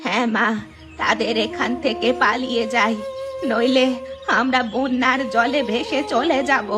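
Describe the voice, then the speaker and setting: A woman's voice: on the high side, steady tone, a little slow, over music, lightly acted. A woman voicing a sorrowful, anxious old village grandmother in a cartoon, speaking in a lamenting way. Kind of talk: dubbed cartoon dialogue.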